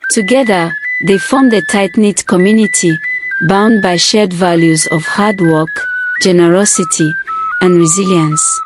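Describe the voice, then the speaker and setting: A woman reading a scripted folktale as a storyteller, in a measured, formal read-aloud manner. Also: lowish voice. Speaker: storyteller, narrator. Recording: music playing behind the voice. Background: background music.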